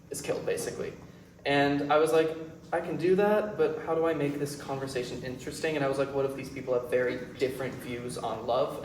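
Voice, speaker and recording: A man's voice: medium pitch, darkish tone. An eighteen-year-old man talking casually as a playwright and director at a question session in a performance space. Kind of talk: casual post-show talk. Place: indoor performance space, recorded from afar. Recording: echoey room, distant mic, faint noise.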